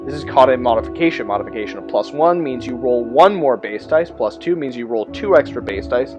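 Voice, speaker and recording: A man's voice: mid-range voice, animated, fairly quick, highs cut off, over music. A man hosting a tabletop game rules explainer, partly reading aloud and adding informal asides in an explanatory way.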